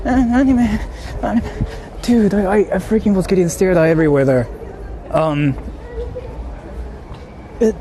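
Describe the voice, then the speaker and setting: A man live-streaming in casual chat, rambling excitedly and laughing often on a portable mic. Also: sits high. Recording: noisy street stream audio.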